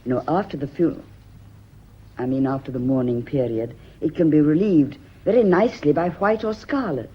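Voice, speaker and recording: A woman's voice: sits low, fairly quick, slightly rough. An actress playing a genteel, uneasy lady's companion with a British accent, clipped and commanding, in a period radio mystery. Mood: composed, proud. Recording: dull, muffled old radio recording.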